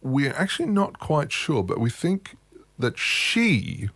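A man's voice: a little high, sing-song, takes it slowly, a bit bright, husky, no room sound. A man, a musician guest telling his life story in a wry, thoughtful, self-mocking way. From Australia. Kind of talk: relaxed storytelling interview.

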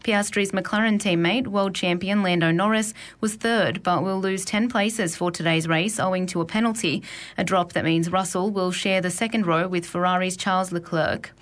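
A woman reading a scripted radio news bulletin in a crisp, even manner. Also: fairly low pitch, normal speed. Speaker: news reader.

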